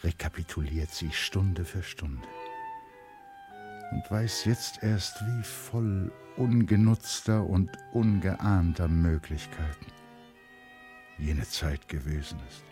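Male narrator, low voice, scripted literary radio drama, intense storytelling, faint music underneath. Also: slow pace.

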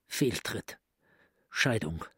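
Polite educated doctor type, really breathy husky voice, expressive, formal, radio drama. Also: male voice, fairly low pitch, drawn-out words, full character voice. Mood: shocked, brooding. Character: cultivated, courteous asylum director, earnest concerned younger brother.

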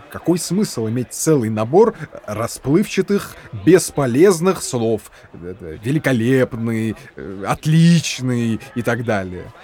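An actor playing a zealous, pedantic party philologist in a radio drama, savoring cruelty and lecturing with hesitant fillers. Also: male voice, mid-range voice, a little mellow. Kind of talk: Russian radio drama acting.

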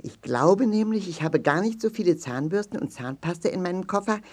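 Eine Schauspielerin spricht einen freundlichen Zahndoktor in einem Kinderhörspiel, mit rauchiger Stimme, eifrig erklärend, betont und ermutigend. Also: female voice, lowish voice, expressive, brisk, a little mellow. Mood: thoughtful. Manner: emphatic, explanatory, encouraging. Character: friendly, instructive tooth-care doctor, eager teacher. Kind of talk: children's audio drama.

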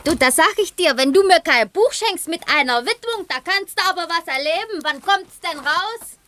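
Very high, bright, cheeky woman. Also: expressive, moderate pace, slight background noise, heavily acted. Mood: teasing. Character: cheeky, pushy local woman teasing a familiar man. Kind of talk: 1970s East German radio drama acting.

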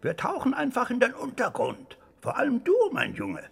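Very gravelly, high, shrewd old crook. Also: male voice, wildly swooping pitch, normal speed, a bit bright, heavily acted. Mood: confident. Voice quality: gravelly, raspy. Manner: declamatory, patronising. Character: shrewd, bossy older crook, fond mentor to the hero. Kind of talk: 1960s comic radio play acting.

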